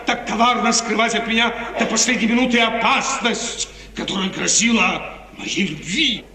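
An actor playing an imperious, lovesick young prince in a 1950s radio drama, with impassioned, declamatory theatrical delivery. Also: male voice, extremely high pitch, sharp tone, exaggerated voice. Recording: echoey, distant, lightly noisy 1950s radio recording.

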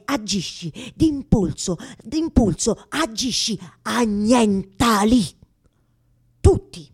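An anguished woman addresses God directly in a radio-theatre monologue. Her mid-pitched voice is halting and insistent, circling back over the same phrases. She sounds fearful and pleading, able to move between intimate murmur and outcry. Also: a little mellow, slightly rough. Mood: impassioned pleading.

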